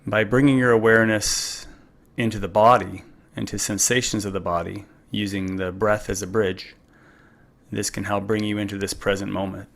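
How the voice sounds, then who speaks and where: A man leading a guided meditation in a calm, unhurried, gently instructive way. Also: lowish voice, creaky. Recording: music behind the voice. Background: music.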